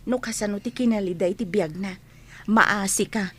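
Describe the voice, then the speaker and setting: A woman with a Filipino accent playing a soft-hearted, principled young student in a radio comedy-drama. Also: medium pitch, normal speed, natural voice. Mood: earnest, sympathetic.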